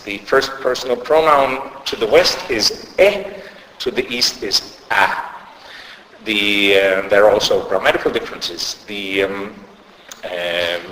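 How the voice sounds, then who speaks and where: A man's voice: lowish voice, bright, forceful tone, slight background noise. A middle-aged man with a Danish accent, a sociolinguist giving a conference lecture with many hesitations and restarts. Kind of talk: academic conference talk. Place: lecture hall. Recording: echoey lecture hall, faint ambience.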